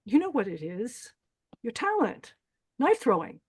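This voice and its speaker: Woman playing a bossy, menacing pageant villainess, higher-pitched. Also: big pitch swings, measured pace, breathy edge, full character voice. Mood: cold, mocking. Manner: bossy, threatening. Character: menacing, deranged female pageant host ordering contestants around. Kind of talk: screenplay table read.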